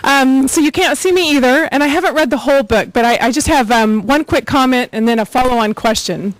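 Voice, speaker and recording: High-pitched chatty woman. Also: a little fast, dry recording, low-quality recording. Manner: chatty, self-interrupting. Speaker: audience member commenting. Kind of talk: casual spontaneous audience comment.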